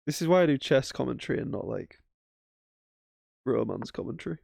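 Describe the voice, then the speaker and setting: A man's voice: mid-range voice, lively intonation, fairly quick, creaky, a little mellow, no echo, studio quality. A man casually commentating his own chess games as he plays, thinking aloud with self-mocking asides. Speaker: chess streamer.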